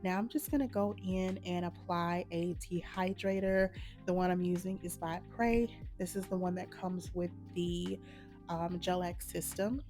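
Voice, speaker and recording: A woman with a slightly hoarse, mellow voice explains a nail tutorial in a relaxed, matter-of-fact way. Her tone sits low and warm rather than bright. Quiet music plays faintly behind her. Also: measured pace. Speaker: nail art channel host. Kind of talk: casual step-by-step tutorial narration.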